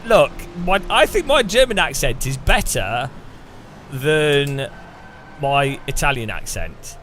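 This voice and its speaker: Chatty man with lively swings. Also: slow, background noise. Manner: chatty, swings from loud sarcastic bursts to low mutters. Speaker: gaming streamer. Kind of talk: casual gameplay commentary.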